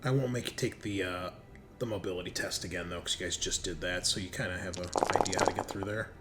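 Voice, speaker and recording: A man's voice: low-pitched, steady tone, fast. A man acting as game master, improvising narration for a tabletop horror roleplaying game in an informal way. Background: background music.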